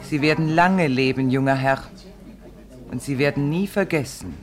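An actress playing a mysterious, sad female fortune-teller in a radio play, speaking in a grave, measured, cryptic manner. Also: low-pitched, lightly acted.

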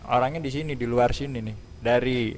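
A man's voice: mid-range voice, brisk, a little hiss or hum. A man hosting a casual radio music interview. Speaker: radio host.

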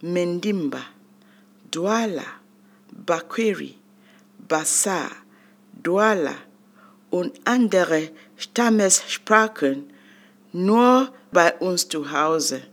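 Slow, measured older woman from Cameroon. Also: on the low side, expressive. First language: a Cameroonian language. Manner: slow, deliberate reading with long pauses. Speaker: autobiographical reader. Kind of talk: reading her own memoir text.

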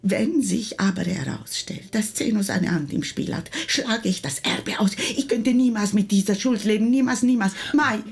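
An actress playing an agitated, pleading Italian wife in a German radio play, with an Italian accent and emotional delivery. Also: female voice, medium pitch, normal speed, vocal fry, few pauses, natural voice. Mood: distraught. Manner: emotional, slips into Italian exclamations.

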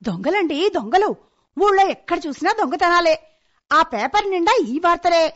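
A middle-aged housewife in a domestic radio comedy. Her voice is high, and she is chatty, aggrieved and ready to retort. The sound is dull and lo-fi. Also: expressive, normal speed, a bit bright. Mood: excited gossiping. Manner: complaining, retorting. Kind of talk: Telugu radio comedy play acting. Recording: dull, lo-fi radio play recording.

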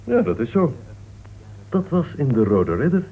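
A man's voice: on the high side, very animated, normal speed, a little hiss or hum. An actor playing a wry, teasing male crime writer in a radio play, dry and sarcastic. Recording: tinny old radio play recording.

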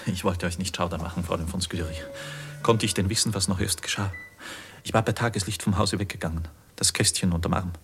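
An actor playing an anguished young goldsmith's journeyman in a 1950s German radio drama, with husky, declamatory delivery that moves from hushed to impassioned. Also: low-pitched, steady tone, fast. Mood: earnest, subdued. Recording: muffled vintage 1950s radio recording.

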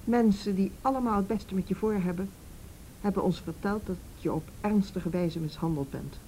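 A woman playing a reassuring youth officer in a radio play, measured, direct and patient. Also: mellow, close to an everyday voice, poor audio. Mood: calm, serious. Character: calm, firm, reassuring policewoman of the youth department. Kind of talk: Dutch radio play acting. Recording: faint hiss and low rumble.

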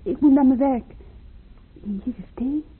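An actress playing a brisk, caring, hurried working woman with a husky voice in a radio drama. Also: high-pitched, full character voice. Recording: muffled phone-like old radio recording.

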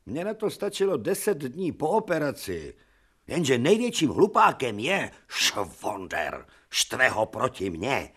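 An actor playing a stern older professor and surgeon in a radio play, lecturing and commanding. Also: male voice, medium pitch, very animated, a bit bright, breathy edge, exaggerated voice. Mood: grave.

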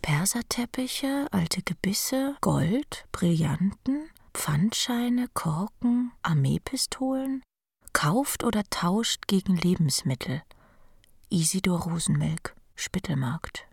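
Creaky, breathy whispering furtive hawker woman. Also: lowish voice, lively intonation, takes it slowly, no echo, exaggerated voice. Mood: secretive, furtive. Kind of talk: radio play acting.

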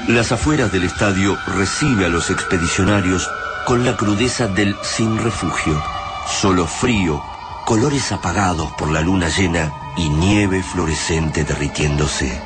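A man's voice: sits low, measured pace. A man with an Argentine accent as the grave, poetic narrator of a radio drama. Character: grave, poetic male storyteller of a war tale. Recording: studio narration over loud music.

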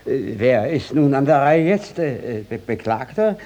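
An actor playing an evasive, pompous old village judge in a radio play, stammering and then barking gruffly. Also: male voice, medium pitch, very animated, unhurried, mellow. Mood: flustered, evasive. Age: older. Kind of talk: classic stage-style radio drama acting.

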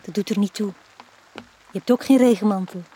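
An actress playing a lonely, quietly insistent young woman with a Flemish accent, speaking softly and hesitantly in a radio drama. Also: medium pitch, brisk, slightly rough, close to an everyday voice. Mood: wistful, pleading. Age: young adult. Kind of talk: 1980s Dutch-language radio drama acting.

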